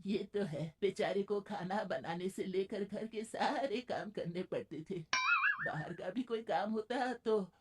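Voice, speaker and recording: A woman's voice: medium pitch, a little slow, a little mellow, breathy edge. A woman playing an elderly, protective grandmother in a moral-story cartoon, blunt and reproachful. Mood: disapproving. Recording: faint background noise.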